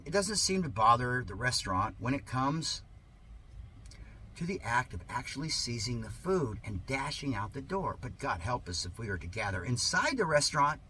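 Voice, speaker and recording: A man performs a satirical reading with heavy sarcasm and mock-earnest emphasis. His voice is bright and forceful, swinging animatedly in pitch. A faint layer of noise sits behind the homemade recording. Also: low-quality recording. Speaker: reader of a satirical article.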